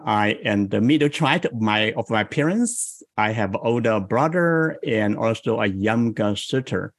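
Slow, thoughtful man with a Chinese accent. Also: lowish voice, expressive, no room sound. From South China. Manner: slow, thoughtful, reflective storytelling. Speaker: guest, improv coach. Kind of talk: interview conversation.

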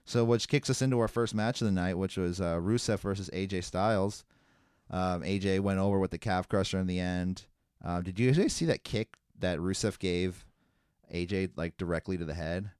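A man's voice: low-pitched, moderate pace, a little mellow, clean tone, no echo, studio quality. A man hosting a casual wrestling podcast, recapping matches in a rambling way with lots of fillers. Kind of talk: casual chat.

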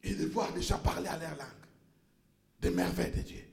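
A male pastor preaching a sermon in a church, impassioned, rising to shouts and stumbling over repeated words. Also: on the high side, normal speed, gravelly, reverberant.

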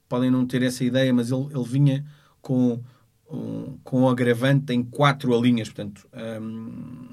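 Halting Portuguese man, even low-keyed delivery. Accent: European Portuguese. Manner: halting, measured, searching for words. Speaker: lawyer. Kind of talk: unscripted spoken account of a court case.

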